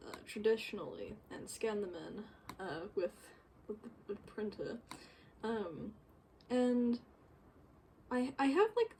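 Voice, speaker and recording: A woman giving casual, unscripted commentary while working on screen, rambling and halting as she goes. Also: mid-range voice, dark tone, slight background noise, poor audio. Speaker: game developer recording a work-in-progress video. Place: bedroom, indoors.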